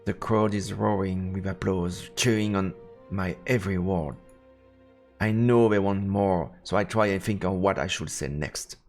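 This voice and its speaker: A man's voice: low-pitched, a little slow. A man reading a visual novel aloud as dramatic narration on a game stream. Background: game music.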